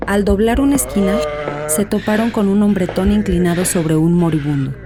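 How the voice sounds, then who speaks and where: A woman's voice: medium pitch, normal speed. A woman reading dramatic scripted audiobook narration. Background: background music.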